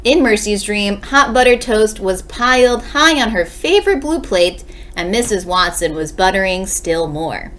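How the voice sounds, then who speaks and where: A woman teacher reads a storybook aloud for young children. Her mid-pitched voice moves up and down in a very lively way. She uses dramatic pauses and talks straight to her young listeners. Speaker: second-grade teacher reading aloud.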